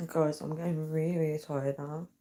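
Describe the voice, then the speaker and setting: A tired woman, her voice nearly gone, speaking softly and earnestly as she chats with viewers on a live stream from her home at night. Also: low-pitched, level tone, normal speed. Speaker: livestreamer. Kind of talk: casual live chat reading viewer comments. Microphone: built-in device mic, no dedicated microphone.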